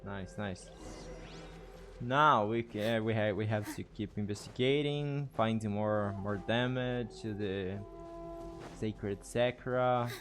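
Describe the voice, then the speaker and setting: A man's voice: on the low side, steady tone, takes it slowly. Casual male game streamer over music. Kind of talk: casual live gameplay commentary.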